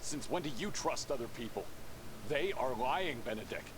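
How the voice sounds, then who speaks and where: A man's voice: mid-range voice, animated, normal speed, lightly acted. A man playing an earnest, insistent priest and loyal husband in a horror game. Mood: insistent, defensive. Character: earnest priest, a devoted husband defending his missing wife. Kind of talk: dramatic game dialogue. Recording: clear background ambience noise.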